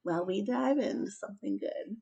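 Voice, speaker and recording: A woman hosting a children's story time, chatting casually and reading aloud in an expressive, emphatic way. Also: mid-range voice, a little fast, creaky, slightly rough. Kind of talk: casual chat and animated storybook read-aloud.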